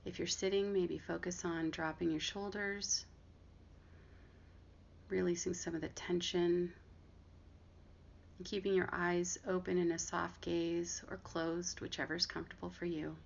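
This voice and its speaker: A woman leads a guided body scan in an even, low-set voice with plenty of fry. She speaks gently and leaves long pauses between instructions. The audio is dull, with noticeable background noise. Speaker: meditation guide and therapist.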